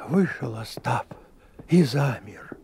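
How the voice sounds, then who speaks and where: A gravelly old storytelling grandfather. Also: medium pitch, lively intonation, loud music behind the voice. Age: older. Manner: slow, sing-song folk-tale telling. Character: old village grandfather spinning a folk tale. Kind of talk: 1980s radio play storytelling.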